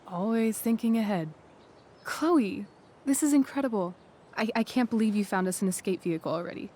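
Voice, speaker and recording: An actress playing a confident, affectionate teenage girl with a teasing, expressive manner in a naturalistic video game drama. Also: medium pitch, fairly quick, slight background noise, close to an everyday voice. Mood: amazed.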